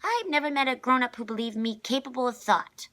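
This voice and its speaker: Storybook little girl, played by a woman: stubborn, blunt, grumpy, raised pitch, emphatic. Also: lively intonation, brisk, fairly bright tone.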